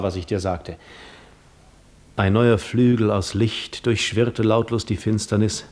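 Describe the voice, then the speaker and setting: An actor playing a brooding male wanderer in a 1950s German radio drama, gravely recounting a painful farewell in measured first person. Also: on the low side, a little mellow. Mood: pleading, intent.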